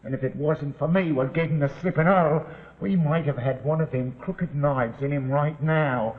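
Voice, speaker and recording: An actor playing a boastful, rough male crook with working-class dialect, in 1940s television suspense drama style. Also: fairly high pitch, moderate pace, close to an everyday voice. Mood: cocky. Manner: rustic working-class dialect, swaggering storyteller. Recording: muffled, noisy old 1949 TV soundtrack.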